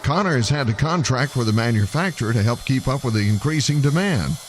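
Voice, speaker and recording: Lively-pitched man narrating TV news. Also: brisk, a little hiss or hum. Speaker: TV news reporter.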